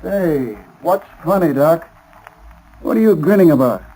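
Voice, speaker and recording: A man's voice: a little high, animated, measured pace, warm tone. An actor playing an uneasy, plain-spoken male henchman in a 1930s radio drama, talking in a colloquial way. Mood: casual, puzzled. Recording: noisy old 1930s radio recording.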